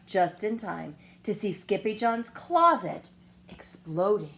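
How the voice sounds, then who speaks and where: A woman reading a children's picture book aloud in an expressive, dramatic storytelling manner. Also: mid-range voice, vocal fry, slight background noise. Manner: expressive, dramatic pauses and whispers.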